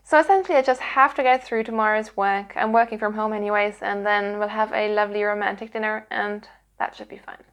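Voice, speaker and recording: A woman's voice: medium pitch, steady tone, a little fast, a bit bright, clear, resonant tone. A woman hosting her own podcast, chatting casually to her audience in a rambly, earnest way. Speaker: podcast host. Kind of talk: casual chatty vlog to viewers.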